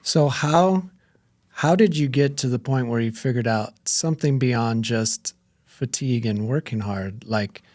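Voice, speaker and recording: A man's voice: medium pitch, measured pace, a little mellow, no room sound, studio quality. A man hosting a podcast interview, asking supportive, probing questions. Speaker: podcast host.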